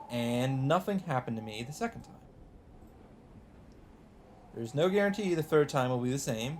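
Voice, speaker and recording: A man reading a visual novel script aloud as dramatic narration, with deliberate, drawn-out phrasing. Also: medium pitch, a little mellow, a little hiss or hum. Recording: music under the voice. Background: music.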